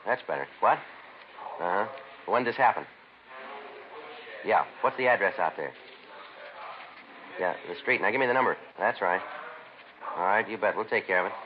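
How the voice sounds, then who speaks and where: An actor playing a weary, businesslike police detective in a 1950s radio crime drama, terse, deadpan and matter-of-fact. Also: male voice, on the low side, normal speed, pauses often, lightly acted. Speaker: police detective narrating the case. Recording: muffled, noisy vintage radio recording.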